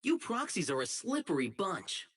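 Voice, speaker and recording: A man voicing an earnest, righteous young public security officer in a video game, dutiful and excitable, with dramatic delivery. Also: high-pitched, moderate pace, husky, exaggerated voice. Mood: businesslike, suspicious. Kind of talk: isolated game character voice lines, dramatic acting.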